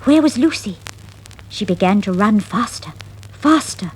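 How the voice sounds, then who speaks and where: A woman narrating a scripted children's fable in a dramatic, expressive storytelling style. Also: medium pitch, slightly rough. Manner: dramatic, expressive, deliberate phrasing. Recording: noisy 1960s vinyl record.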